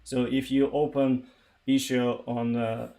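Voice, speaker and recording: Russian-accented man, flat and creaky. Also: mid-range voice. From eastern Ukraine. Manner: halting, searching for words. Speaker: live streamer, software developer. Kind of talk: informal tech livestream talk.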